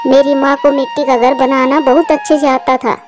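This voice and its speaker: Lady doing caring cartoon mama bird, super high pitched, expressive, background music. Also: moderate pace, lightly acted. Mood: earnest, hopeful. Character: female cartoon sparrow, homely, earnest, caring mother. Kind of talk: Hindi moral-story cartoon dubbing.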